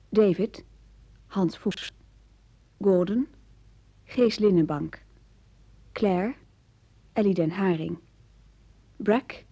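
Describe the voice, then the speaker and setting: Slow, mellow female radio announcer. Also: mid-range voice, husky, no echo. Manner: measured, enunciated. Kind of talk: formal reading of radio play credits.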